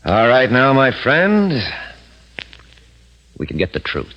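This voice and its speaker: An actor playing a sly, commanding crime boss in a 1950s radio drama. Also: male voice, medium pitch, huge pitch swings, slow pace. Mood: menacing. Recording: muffled vintage 1950s radio recording.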